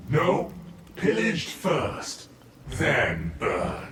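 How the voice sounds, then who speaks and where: Undead game villain, sorcerer, mocking, very animated pitch, echoey, noisy background. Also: male voice, drawn-out words, far from the mic, heavily acted. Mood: gloating menace. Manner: theatrical, mocking. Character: gloating, sly, evil undead male sorcerer. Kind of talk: video game fight dialogue.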